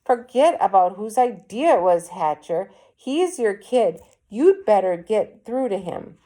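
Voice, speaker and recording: A woman's voice: mid-range voice, very animated, unhurried, dark tone, pitched above the performer's own voice. A woman voicing an impatient, bossy businessman in a storybook, blunt and demanding. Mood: angry impatient.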